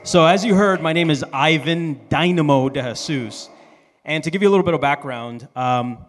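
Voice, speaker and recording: A man's voice: on the high side, normal speed, faint music behind. A man from the Dominican Republic and Miami telling a personal story on stage in an informal, joking manner. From Dominican Republic and Miami, USA. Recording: reverberant live hall.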